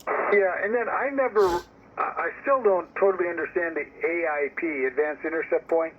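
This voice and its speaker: Over a thin, narrowband radio link with clear noise, a man chats casually about technical matters. He sounds hesitant and questioning, like a hobby operator working things out. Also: a little high, moderate pace. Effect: radio transmission. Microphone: heard over a radio link.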